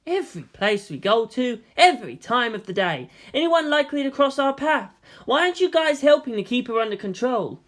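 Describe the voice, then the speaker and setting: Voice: medium pitch, sing-song, brisk, few pauses, fairly bright tone, voice pushed up. A narrator voicing an exasperated, sarcastic teenage boy hero in a fantasy story.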